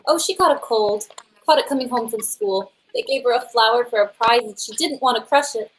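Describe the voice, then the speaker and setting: A woman acting as a cheerful, patient wife in a radio drama. Her voice is high, chatty and soothing, with some faint noise behind it. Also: brisk, lightly acted, poor audio. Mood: cheerful, affectionate. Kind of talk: live radio play reading. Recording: noticeable faint background noise.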